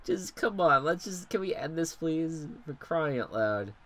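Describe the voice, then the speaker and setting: A man's voice: a little high, very animated, unhurried, fairly bright tone. A congested young man rambling and swearing casually while commentating a football video game. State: congested, stuffy nose. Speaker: gaming commentator.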